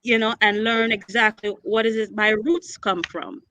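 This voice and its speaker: Lively bright Jamaican woman on a call. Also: on the high side, normal speed, no room sound, low-quality recording. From born in New York, raised partly in Jamaica. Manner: lively, repeats phrases, mixes in patois. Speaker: recording artist guest. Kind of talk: casual online chat.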